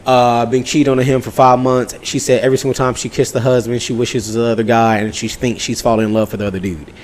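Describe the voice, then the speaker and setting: A man's voice: medium pitch, fast talker, a little hiss or hum. A man telling a casual podcast story as co-host, slangy and profane, acting out both sides of conversations. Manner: slangy, profane, animated retelling with acted-out dialogue.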